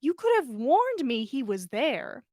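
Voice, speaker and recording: A woman's voice: sits high, sing-song, unhurried, darkish tone, no echo, studio quality, heavily acted. A woman voicing a hungover young wizard man, good-natured but wry and grumbling, in a story read aloud. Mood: startled, grumbling. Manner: exasperated, wry mock-grumbling.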